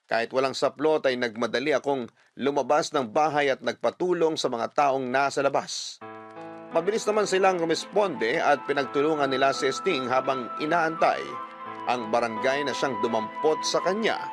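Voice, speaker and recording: A man's voice: mid-range voice, moderate pace, music in the background. A man with a Filipino accent giving dramatic scripted narration as the storyteller of a radio drama.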